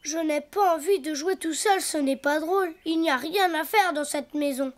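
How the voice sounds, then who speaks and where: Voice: high voice, normal speed, close to an everyday voice. A performer voicing a cheerful, imaginative little boy donkey in a children's cartoon, chatty and childlike. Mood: playful. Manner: childlike, talking to himself, expressive.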